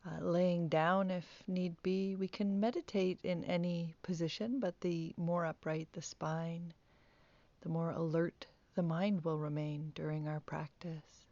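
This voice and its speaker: A woman teaching guided meditation, speaking softly with calm, gentle instructions and long pauses. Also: lowish voice, dry recording, lo-fi sound.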